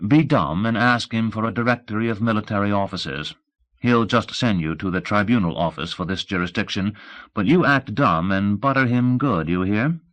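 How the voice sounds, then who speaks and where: A man's voice: on the low side, normal speed, a bit bright, lightly acted. Man putting on a brassy, flirtatious woman fixer. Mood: excited, bossy. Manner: breezy, flirty, slangy.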